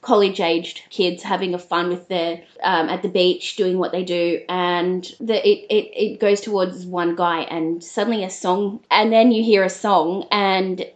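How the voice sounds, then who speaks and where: A woman co-hosting a podcast, telling about shows in an informal, slightly halting way. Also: on the low side, fairly even intonation, muffled recording. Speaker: podcast co-host. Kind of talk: casual chat, recounting a TV show.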